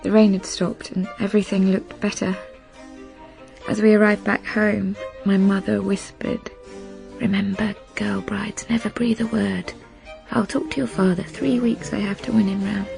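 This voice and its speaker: A woman playing a wistful young bride who recalls her past in a measured, reflective radio drama monologue. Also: medium pitch, steady tone, creaky, a little mellow, faint music behind. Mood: quiet, remembering.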